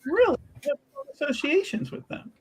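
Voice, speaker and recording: Woman as a lively, snide, weary religious sister. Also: high voice, moderate pace, pitched above the performer's own voice. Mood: pointed, skeptical. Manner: dry, pointed sarcasm. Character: prim, weary, snide Chantry sister, a bitter rival.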